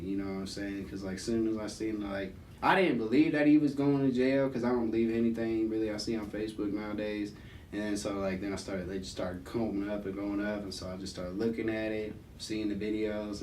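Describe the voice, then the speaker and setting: Lower-voiced rambling guest, echoey room. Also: male voice, a little mellow. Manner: loose, rambling, heavy on fillers and slang. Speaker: guest rapper. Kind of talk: casual conversation. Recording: echoey room, distant mic, faint rumble.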